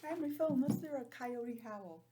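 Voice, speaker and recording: A woman teacher reading a picture book aloud to young children, stopping for simple, chatty explanations in an animated manner. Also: fairly high pitch, moderate pace, noisy background. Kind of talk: storybook read-aloud with chatty asides.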